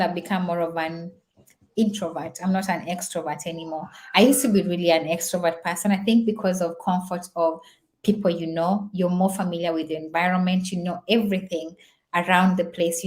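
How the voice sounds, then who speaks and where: A woman's voice: lowish voice, darkish tone. A young woman whose first language is Swahili, talking in a casual, reflective vlog, chatty and full of fillers and repeats. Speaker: vlogger.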